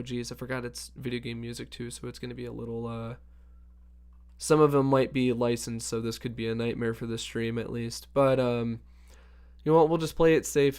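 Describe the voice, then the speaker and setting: A man hosting a birthday livestream in a casual, upbeat way, greeting chat viewers by name, his voice hoarse from allergies. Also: medium pitch. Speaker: livestream host.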